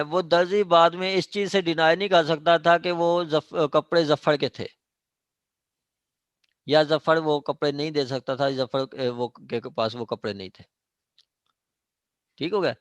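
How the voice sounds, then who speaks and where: पाकिस्तानी लहजे वाला पुरुष लॉ लेक्चरर, सूखी आवाज़. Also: male voice, fairly high pitch, no echo. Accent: Pakistani. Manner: explanatory, with frequent check-in tags and examples. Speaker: law lecturer. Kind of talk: online law lecture, mixing Urdu with English legal terms.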